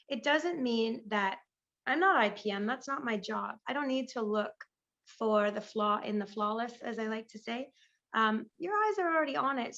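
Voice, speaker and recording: A woman recovering from a lost voice, so it squeaks now and then, speaking enthusiastically and off the cuff on a conference panel over a video call. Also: a bit bright, lo-fi sound. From Canada. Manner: enthusiastic, chatty, improvising. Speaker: panelist, pest management business owner.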